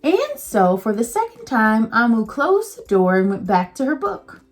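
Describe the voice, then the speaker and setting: Teacher reading a kids' storybook, slightly high voice, very slow, faint music under it. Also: female voice, sing-song. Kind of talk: children's picture book read-aloud.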